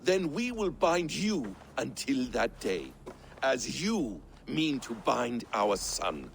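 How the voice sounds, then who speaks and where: A man playing a bitter, vengeful trickster god in a video game, accusing and deliberate in his delivery. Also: mid-range voice, very animated, gravelly, noisy background, full character voice. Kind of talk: video game cutscene dramatic acting.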